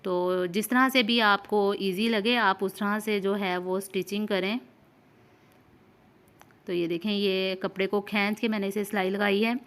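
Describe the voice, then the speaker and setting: A woman with a smooth, clear voice at an ordinary pitch hosts a hands-on tutorial. She explains things step by step in an informal way. She often goes back over a step and checks that the listener is following. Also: fairly even intonation, a little slow, a bit bright. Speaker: sewing tutorial host. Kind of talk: informal step-by-step instructional narration.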